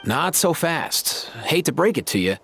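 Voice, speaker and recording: An actor playing an easygoing young warrior in a game cutscene, talking in a relaxed, slangy way. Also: male voice, on the high side, unhurried, creaky, slight background noise, full character voice. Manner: laid-back, slangy.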